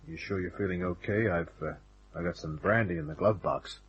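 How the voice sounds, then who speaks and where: An actor playing a tough, cynical private detective in an old-time radio drama, talking in a wry, casual, laconic way with a rough, husky voice. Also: male voice, deep voice, brisk, highs cut off. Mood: reassuring, casual. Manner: wry, casual, hard-boiled.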